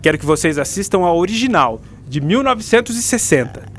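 An enthusiastic, didactic male teacher with a Brazilian accent. The voice sits high for a man, over faint background noise. The delivery is patient and explanatory, as in a radio play classroom. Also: very animated, a little fast, a bit bright. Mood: instructing, reassuring. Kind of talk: radio drama, classroom lecture.

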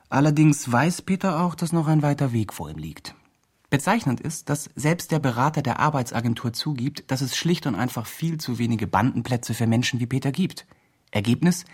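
An actor playing an earnest, wordy do-gooder blogger making appeals in a radio drama. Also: male voice, fairly high pitch, husky. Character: earnest do-gooder blogger campaigning for a homeless man. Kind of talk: scripted blog-like radio drama narration.

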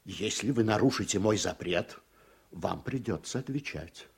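An actor in a radio play playing a stern, easily indignant judge who speaks formally and with heavy emphasis. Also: male voice, fairly low pitch, animated, moderate pace, creaky, slightly rough, exaggerated voice.

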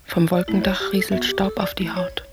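An actress playing an introspective, weary woman lost in memories, speaking a poetic, often hushed monologue in a radio drama. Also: lowish voice, flat delivery, normal speed, natural voice. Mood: brooding, hushed. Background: music.

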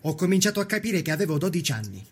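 Young labour organiser, husky, slightly high pitch, proud, rhetorical, documentary drama. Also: male voice, very animated, very fast. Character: young Sicilian workers' movement leader, proud, fervent.

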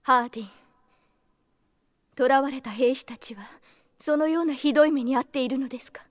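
An actress playing a compassionate young noblewoman, soft, polite and formal, in a radio drama. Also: high voice, a little fast, dry recording, studio quality, close to an everyday voice. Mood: sorrowful, concerned. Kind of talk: Japanese radio drama acting.

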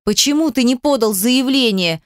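Woman acting an anguished, hysterical woman, pitched high. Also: sing-song, normal speed, no room sound, clean studio sound. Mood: angry, demanding. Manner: shouting, breathless, tearful outbursts. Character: hysterical, embittered young mother in anguish.

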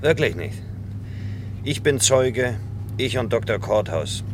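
A man's voice: mid-range voice, expressive, takes it slowly. An actor playing a brash, reassuring, bluntly insistent man at the wheel in a German radio play. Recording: loud vehicle noise behind voice.

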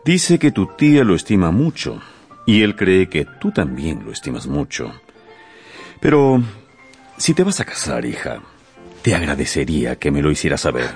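An actor playing a teasing, affectionate old father in a Spanish-language radio drama, animated and playful. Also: rumbling low, normal speed, soft background music, exaggerated voice. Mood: teasing, probing.

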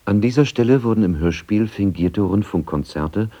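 Deep, dark-voiced male documentary narrator. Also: moderate pace. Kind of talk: scripted narration.

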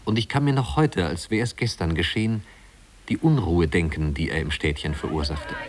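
Low-voiced wry storyteller. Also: male voice, moderate pace. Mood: wry, reminiscing. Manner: leisurely, wry, conversational storytelling. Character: man narrator recalling his small-town youth, wry storyteller. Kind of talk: 1950s German radio drama narration.

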